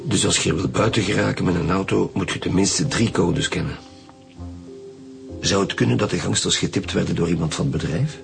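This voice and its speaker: A gravelly, low Flemish police inspector. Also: male voice, brisk. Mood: probing. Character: grave, steady male police chief inspector. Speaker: radio drama actor. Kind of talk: radio drama acting. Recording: music behind the voice.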